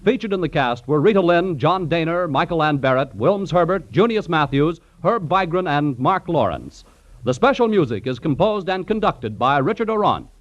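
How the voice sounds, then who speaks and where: A man's voice: fairly high pitch, animated, normal speed. A man making scripted announcements as a 1940s radio network announcer. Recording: muffled vintage radio recording. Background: faint music.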